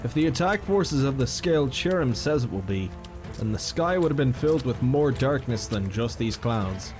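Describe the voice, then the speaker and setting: A man playing a stoic, loyal soldier in a fantasy audio drama, respectful and gently reassuring. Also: mid-range voice, animated, moderate pace, over music, natural voice.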